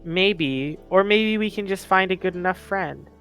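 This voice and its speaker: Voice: mid-range voice, measured pace, fairly bright tone, smooth. A player in a tabletop roleplaying game, chatting casually in character. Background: faint music.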